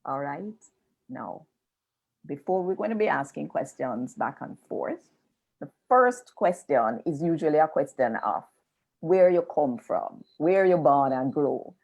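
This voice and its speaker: A woman with a lilt hosts a live online interview. Her voice sits low, but it swings widely in pitch as she talks, and she takes her time over each phrase. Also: expressive, measured pace, darkish tone. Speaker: interviewer and host. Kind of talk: live video-call interview.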